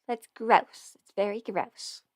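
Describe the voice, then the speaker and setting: A woman's voice: medium pitch, steady tone, slow pace. A woman hosting a casual livestream, chatting informally and thinking aloud as she goes. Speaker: livestream host and voice performer answering viewer questions. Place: indoors at a desk at home.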